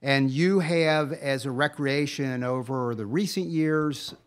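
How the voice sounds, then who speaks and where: A man's voice: medium pitch, slow. A man with a mid-range voice co-hosts a podcast. He chats casually, with an enthusiastic and insistent delivery that keeps pushing forward. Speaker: podcast co-host.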